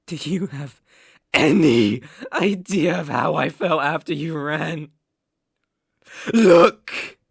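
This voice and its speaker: A man with a British accent playing an unstable, obsessive mafia boss in a dramatic ASMR roleplay, possessive and volatile, with a breathy, gravelly voice. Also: mid-range voice, fairly bright tone, no echo, studio quality, heavily acted. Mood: angry interrogation. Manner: possessive, volatile, swinging from whispers to outbursts. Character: unstable, obsessive, menacing male mafia boss, jilted lover.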